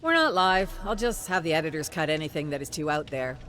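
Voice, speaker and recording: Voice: medium pitch, very animated, quick pace, bright, forceful tone, a little hiss or hum. A reader doing a curt executive producer. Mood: dismissive. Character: dismissive male executive producer.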